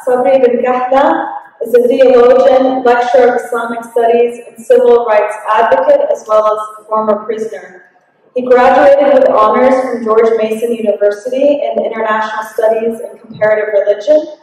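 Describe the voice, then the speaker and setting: A woman in her thirties moderating a panel, speaking in a measured, earnest way with frequent ums. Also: on the high side, darkish tone. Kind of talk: introducing a panel. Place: large conference hall. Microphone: podium microphone. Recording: echoey hall, distant mic.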